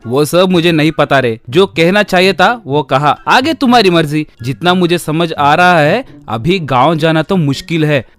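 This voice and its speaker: An actor playing a brash, scheming, idle young village man with a cheeky, excitable manner in a comedy story. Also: a little high, moderate pace, few pauses, faint music behind. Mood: explaining.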